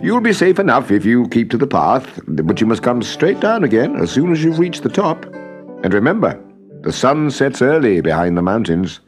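A man's voice: medium pitch, very animated, moderate pace, soft background music, voice pushed up, natural voice. A man voicing a caring father giving careful warnings in a storybook reading. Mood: earnest. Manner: earnest warning.

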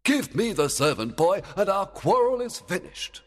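A man's voice: high voice, big pitch swings, normal speed, long reverb tail, pitched above the performer's own voice. A man voicing a stern, jealous and scheming fairy king, commanding, in a storybook. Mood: angry. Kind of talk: dramatized storybook acting.

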